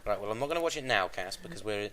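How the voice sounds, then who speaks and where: A stuffed-up British lad with a sharp, forward voice, stumbling over his words on a homemade chat podcast with his mates. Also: lowish voice, animated, racing. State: congested with a cold. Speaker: podcast host. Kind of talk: casual chat.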